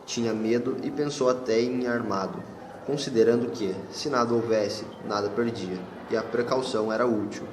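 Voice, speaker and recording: A man's voice: mid-range voice, moderate pace, background noise. A Brazilian man narrating a scripted radio drama. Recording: echoey hall, long reverb, music bed. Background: music.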